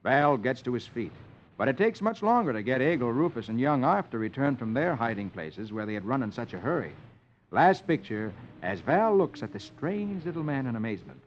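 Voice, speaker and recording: A man's voice: medium pitch, slight background noise. A man hosting a 1950s children's radio show, reading the comics aloud in a theatrical storyteller manner. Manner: theatrical, sing-song storyteller delivery. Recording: muffled old radio recording with music.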